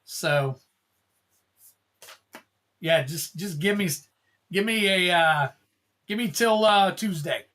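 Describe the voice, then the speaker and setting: Bright-voiced casual livestream host. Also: medium pitch, slow, pauses often. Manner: rambling, calls out card names, thanks viewers. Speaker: livestream host opening card packs.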